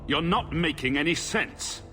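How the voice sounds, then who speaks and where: A man's voice: fairly high pitch, animated, unhurried, sharp tone, soft background music. A man playing a resolute, direct hero in a fantasy video game, questioning firmly. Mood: demanding. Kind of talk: video game cutscene acting.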